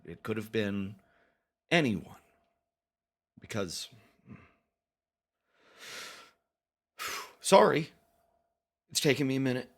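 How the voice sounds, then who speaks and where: A man telling a first-person story as a dramatic confession, with deliberate pauses and mock-grave, theatrical emphasis. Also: sits low. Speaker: storytelling podcast narrator.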